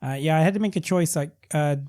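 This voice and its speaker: A man's voice: on the high side, fast talker, dark tone. A man in his late thirties from Sri Lanka, a podcast guest in casual conversation who often stumbles and repeats words before finishing a thought. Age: 30s. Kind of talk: casual interview chat.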